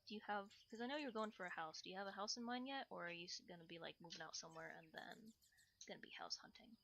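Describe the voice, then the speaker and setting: A woman's voice: mid-range voice, fast, a bit bright. A woman chatting casually on a livestream at home while doing chores, in a rambling, hesitant way with trailing phrases. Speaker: livestream host reading and answering chat. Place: small home bathroom.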